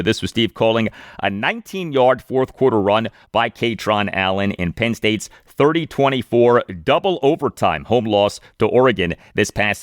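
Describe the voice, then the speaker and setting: A man's voice: lowish voice, a bit bright, no room sound, studio quality. A man hosting a sports podcast, introducing guests and asking questions. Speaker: podcast host and interviewer.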